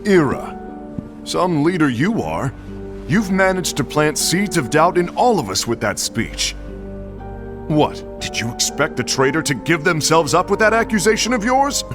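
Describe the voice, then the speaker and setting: An actor playing a brash, scornful young rival in a fantasy game, blunt and confrontational in delivery. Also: male voice, fairly high pitch, big pitch swings, unhurried, music in the background. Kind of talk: scripted game cinematic acting.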